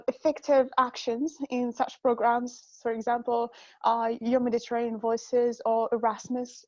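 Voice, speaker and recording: A woman's voice: a little high, a little mellow, no echo, muffled recording. A woman from Morocco answering a question at an online forum, hesitant with frequent fillers. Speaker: participant. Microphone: computer mic on video call.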